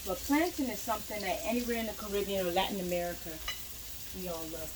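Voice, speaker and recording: A woman's voice: mid-range voice, fast, background noise. A young woman, a cooking vlogger, chatting casually as she fries food in her home kitchen and moves around the stove.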